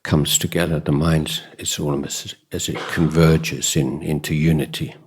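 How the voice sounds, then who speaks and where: A man who teaches meditation as a Buddhist monk, speaking in a measured, deliberate way with long pauses in mid-phrase and a husky voice. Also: very deep voice, level tone, slight background noise. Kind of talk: guided meditation and dhamma talk.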